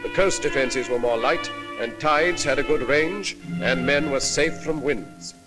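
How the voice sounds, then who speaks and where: A man's voice: mid-range voice, a little slow. A man reading a scripted 1940s wartime documentary narration in solemn, grandiloquent, poetic phrases. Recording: 1940s film soundtrack with music behind.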